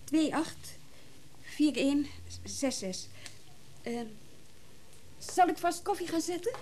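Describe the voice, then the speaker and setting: Radio drama role: eager, polite young woman, high voice, a little hesitant, faintly noisy recording. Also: slow pace, dark tone. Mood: hesitant, earnest. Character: polite, eager young girlfriend working in a shop. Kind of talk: naturalistic Dutch radio play acting.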